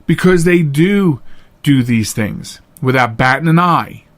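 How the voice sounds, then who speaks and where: A man hosting a podcast in a casual, unscripted monologue, earnest and plain-spoken, halting at times and repeating phrases for emphasis. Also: a little high, animated. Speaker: podcast host.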